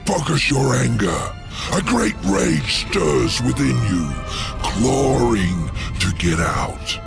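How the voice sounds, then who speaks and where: A gravelly demon lord. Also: male voice, mid-range voice, very animated, over loud music, full character voice. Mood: commanding. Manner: slow, menacing, theatrical. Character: scheming ancient male demon lord, sinister, commanding. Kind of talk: video game cutscene acting. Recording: game audio with loud score.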